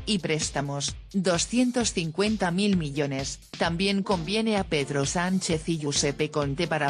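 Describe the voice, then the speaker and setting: Deep-ish woman reading the news, steady pace, loud music under her. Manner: even, measured newsreading. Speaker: news narrator. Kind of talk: read news narration. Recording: voice-over with loud music bed.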